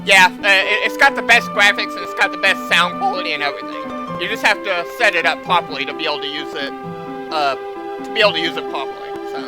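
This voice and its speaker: A man chatting casually as he streams a game, speaking haltingly and often repeating words as he restarts sentences. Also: high voice, over music. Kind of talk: casual livestream chat over gameplay.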